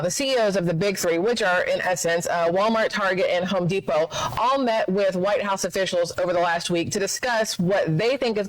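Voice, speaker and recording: A man with a notably high voice delivers opinionated news commentary. He talks in long, rambling runs and hits his points with indignant emphasis. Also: low-quality recording. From United States.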